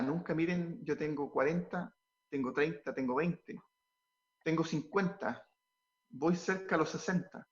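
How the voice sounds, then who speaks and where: Earnest pastor with a halting delivery. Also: male voice, fairly high pitch, muffled recording. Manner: earnest, halting with repeated words. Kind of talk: interview answers, turning preachy.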